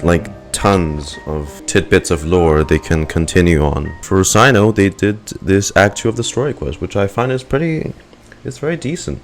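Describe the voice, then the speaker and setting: A man giving casual reaction commentary as a gaming streamer, musing informally and picking his words as he goes. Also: low-pitched.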